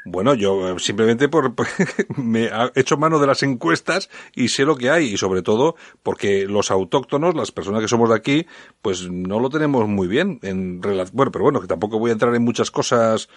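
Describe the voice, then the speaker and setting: A man's voice: mid-range voice, animated, normal speed, dry recording, clean studio sound. Rambling man in his fifties hosting. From Bilbao, Spain. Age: 50s. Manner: rambling, self-correcting, laughs easily. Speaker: host. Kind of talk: talk radio discussion.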